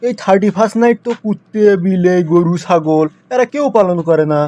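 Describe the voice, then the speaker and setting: Man acting a high-voiced, preachy cartoon schoolteacher. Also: brisk, warm tone, no room sound, highs cut off, natural voice. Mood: sarcastic. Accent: Bogura, northern Bangladesh. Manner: mock agreement, rhetorical questions, preachy. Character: sarcastic, moralizing male schoolteacher scolding his pupil. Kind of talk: comic cartoon dialogue turning into sermon.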